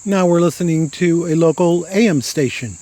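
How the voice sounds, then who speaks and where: A man narrating a scripted product review in a plain, measured way. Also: on the high side, over loud music.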